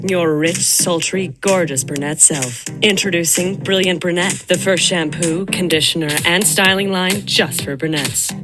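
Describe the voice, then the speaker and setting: A woman doing a sultry, calming commercial voice-over read. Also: sits low, pitched below the performer's own voice.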